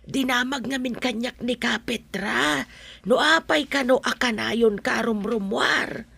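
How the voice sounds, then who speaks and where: An actress with an Ilocano accent playing a fussing village mother in a radio drama, with a raspy, creaky voice. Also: on the high side, a little slow, bright, forceful tone, dry recording, full character voice. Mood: fussing, impressed.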